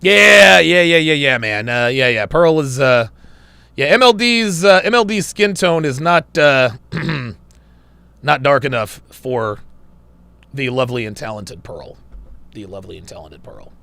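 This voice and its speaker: A man's voice: mid-range voice, lively intonation, unhurried, vocal fry, pushed and bright, clean tone, no room sound. A man hosting a casual livestream, reading viewer comments aloud, laughing often and sounding wry, a little strained as he loses his voice. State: losing his voice. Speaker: livestream host reading super chats.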